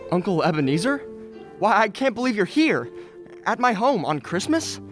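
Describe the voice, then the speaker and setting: High, very animated young nephew played by a man. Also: normal speed, over music. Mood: surprised, flustered. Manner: hesitant, exclamatory. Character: flustered, welcoming young nephew hosting Christmas dinner. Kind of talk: old-time radio play acting.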